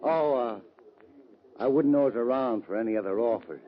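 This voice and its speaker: An actor playing a domineering, greedy cattle baron in a radio western, with a folksy, threatening American Western drawl. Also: male voice, mid-range voice, moderate pace, slight background noise, close to an everyday voice. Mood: smug menace. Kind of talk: old-time radio western acting. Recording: dull vintage radio recording.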